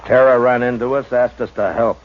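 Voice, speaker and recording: A man playing a steady, stern frontier marshal who speaks calmly and with authority in a 1950s radio drama. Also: mid-range voice, natural voice. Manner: calm, measured, authoritative. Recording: dull old radio recording with hiss.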